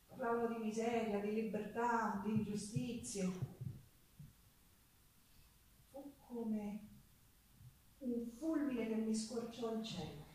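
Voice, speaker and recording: An actress playing a passionate Sicilian folk singer recalling her life in an earthy, expressive theatrical monologue. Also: a little high, a little hiss or hum, close to an everyday voice. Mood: fond, reminiscing. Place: theatre stage with live guitar. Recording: echoey theatre stage recorded from far off.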